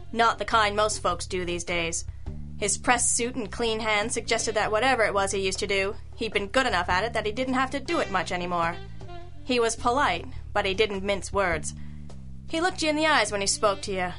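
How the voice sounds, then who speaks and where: A woman performing as a sassy girl detective with a bright, forceful voice at an average pitch. She is sharp-witted and worldly, delivering old-time radio detective lines with crisp, wry poise. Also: lightly acted.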